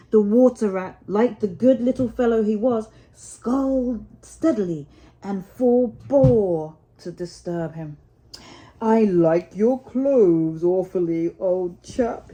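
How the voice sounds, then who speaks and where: A woman's voice: medium pitch, warm tone, low-quality recording. A woman storyteller reading a children's storybook aloud. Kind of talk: storybook narration read aloud.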